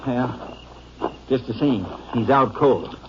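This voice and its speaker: An actor playing an excitable, folksy county sheriff in an old radio Western. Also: male voice, mid-range voice, slow. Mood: relieved. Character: excitable male county sheriff, loyal lawman sidekick. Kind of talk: 1950s radio Western acting. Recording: very noisy, dull old radio recording.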